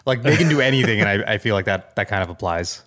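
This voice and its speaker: A man's voice: on the low side, expressive, fast talker, a bit bright. A man co-hosting a podcast, giving casual commentary in a tentative way and often checking in with "right?". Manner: tentative, thinking aloud, often ends with "right?". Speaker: podcast co-host.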